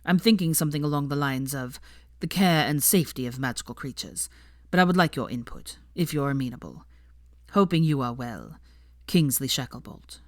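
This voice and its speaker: A woman narrating a fanfiction audiobook in a calm, measured, formal way. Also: very deep voice, no room sound, clean studio sound. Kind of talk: audiobook-style fanfiction reading.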